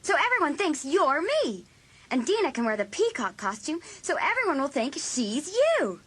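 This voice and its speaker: A woman playing a vengeful teenage girl in a dramatised audiobook, husky and intense as she schemes in cold blood. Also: sits high, big pitch swings, normal speed, a bit bright. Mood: eager, scheming. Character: vengeful teenage girl coldly plotting a murder.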